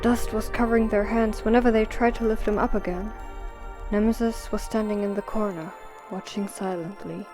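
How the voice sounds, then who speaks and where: A woman's voice: medium pitch, steady tone, moderate pace, music in the background. A woman reading scripted story narration for an audio drama.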